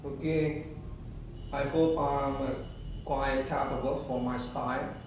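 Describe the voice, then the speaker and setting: Indonesian-accented man for an improvised, disjointed monologue; halting phrasing, recorded far from the mic with clear background noise. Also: mid-range voice, fairly even intonation. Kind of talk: rambling improvised monologue to camera. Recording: very echoey room, distant mic, clear noise.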